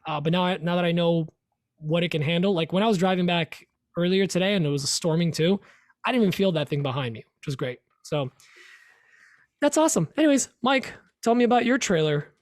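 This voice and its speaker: A man's voice: high voice, animated, a little fast, dry recording. A man co-hosting a podcast in casual chat, upbeat and talkative, often trailing off and restarting. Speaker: podcast co-host.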